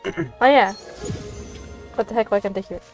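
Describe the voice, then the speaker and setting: A woman game streamer chatting casually in a halting, giggly, playfully dramatic way. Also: medium pitch, a little mellow, faint music behind. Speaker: game livestreamer. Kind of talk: casual live gaming chat.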